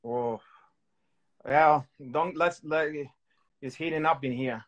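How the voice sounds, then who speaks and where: A man's voice: on the high side, slow pace, a bit bright, highs cut off. A man in his thirties co-hosting a casual show over a video call, joking and teasing and rambling with many restarts. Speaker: co-host. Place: home room with candlelight.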